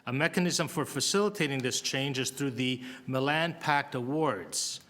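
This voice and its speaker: A man's voice: mid-range voice, normal speed, a bit bright. Man giving formal meeting remarks. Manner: reads prepared text with fillers. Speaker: board member presenting a program. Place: council meeting room.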